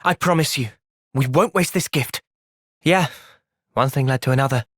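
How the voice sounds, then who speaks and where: An actor voicing an earnest, thoughtful young male soldier and leader in a video game, with an English accent and a measured delivery. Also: medium pitch, fairly bright tone, no room sound, studio quality, lightly acted. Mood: calm, earnest. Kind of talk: video game cutscene acting.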